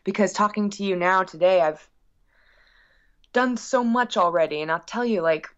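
A woman speaking as a musician guest in a casual interview, telling stories in an effusive, animated way. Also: mid-range voice, moderate pace, dull audio.

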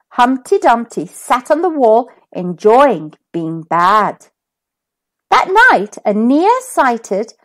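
Slow, high-pitched woman reading a storybook. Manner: animated, deliberate. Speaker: children's story reader and host. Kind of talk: expressive scripted storybook read-aloud.

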